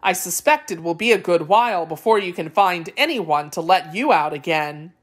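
A woman's voice: fairly low pitch, lively intonation, normal speed. A woman voicing a sly storybook jackal who politely dithers and feigns bewilderment. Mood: knowing, sly. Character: sly jackal feigning befuddled, fretful confusion. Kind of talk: storybook character voice.

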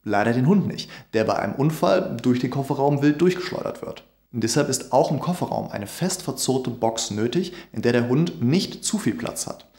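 A man's voice: medium pitch, vocal fry, a little mellow. A man in his thirties, a veterinarian, giving an earnest, emphatic scripted explainer to camera in a dim home studio. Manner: earnest, emphatic, gestures with hands. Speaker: veterinarian YouTuber. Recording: roomy echo.

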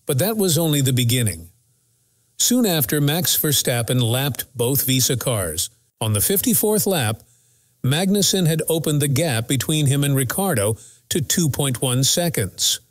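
A man's voice: medium pitch, lively intonation, moderate pace, dry recording. A man reading a scripted race recap as the narrator of a motorsport news channel.

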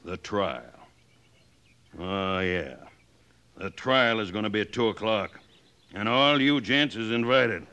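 An actor playing a blustering, bullying rancher in an old-time radio western, with a folksy, mocking, pushy drawl. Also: male voice, on the low side, expressive, slow, long pauses, pushed and bright, clear, resonant tone, exaggerated voice.

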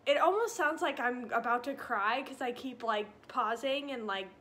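A woman's voice: fairly high pitch, normal speed, bright, forceful tone. A young woman in her twenties giving a casual pregnancy update with lots of fillers, a little short of breath, filmed in a living room. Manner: chatty, many fillers and likes. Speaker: vlogger. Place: living room by a stone fireplace.

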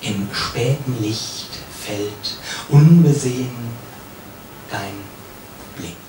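A man's voice: mid-range voice, dark tone. Solemn man reading poetry, very roomy distant sound. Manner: solemn, measured, with pauses and falling phrase endings. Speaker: poet reading own work. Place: café, live reading. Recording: roomy, distant, noisy live recording.

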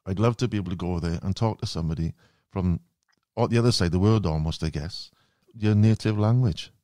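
A man whose first language is Welsh hosts a podcast, his voice very low-pitched. He chats in a relaxed, wry way, with a close, dry sound and no room around it. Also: fairly quick, no echo. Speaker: podcast host. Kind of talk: casual interview chat.

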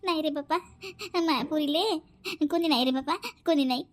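A woman acting an extremely high-voiced, plaintive village mother. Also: fairly quick, close to an everyday voice. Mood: worried, plaintive. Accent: Varhadi Marathi. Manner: plaintive, self-pitying. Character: anxious, tearful middle-aged village mother, doting on her daughter. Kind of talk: amateur animated family drama-comedy acting.

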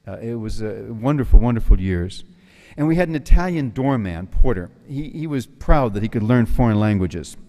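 An American priest in his sixties from New York City, giving an animated retreat talk at a lectern in a large hall. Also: mid-range voice, normal speed. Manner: animated, gestures with both hands, tells jokes. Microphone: stand mic at the lectern. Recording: long hall reverb.

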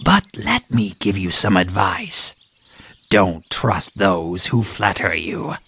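A man voicing a sly, flattering fox in a children's fable, with a low, gravelly, husky voice and drawn-out delivery. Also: slight background noise, heavily acted. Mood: smug. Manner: slow, drawn-out, smooth-talking flattery. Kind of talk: children's storybook character acting.

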